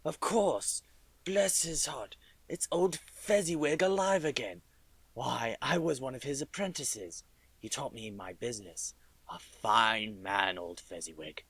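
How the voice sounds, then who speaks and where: A man's voice: mid-range voice, unhurried, fairly bright tone, slightly rough, no echo. A man playing a wary, wistful old businessman in a radio drama.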